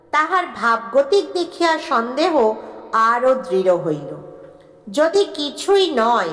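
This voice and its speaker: A woman narrates a literary short story at a very slow, measured pace. Her voice sits fairly high, and she delivers the formal prose with care. Long, echoing reverb surrounds her voice.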